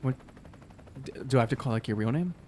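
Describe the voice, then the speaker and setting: A man's voice: mid-range voice, animated, fast talker, mellow, slight background noise. A man playing a tabletop game, talking casually and joking as he improvises.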